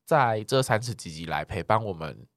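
Low-voiced Taiwanese man with swooping intonation. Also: lively intonation, moderate pace, no echo. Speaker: podcast co-host. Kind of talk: casual chat.

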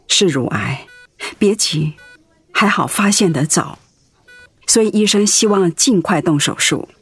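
An actress playing a gentle, anxious wife who cares for her sick husband in a Mandarin radio drama. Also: medium pitch, husky. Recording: music under the voice.

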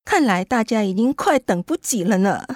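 A woman's voice: mid-range voice, lively intonation, moderate pace, no room sound, studio quality, lightly acted. A woman playing a kindly, patient mother who explains things in a children's radio drama. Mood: kindly, explaining.

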